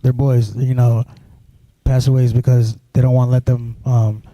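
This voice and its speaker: Guest, male, from Sudan, deep mellow tone, halting delivery, dry close mic. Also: level tone, dry recording, rough recording. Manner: halting, full of restarts and "you know". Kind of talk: personal storytelling interview.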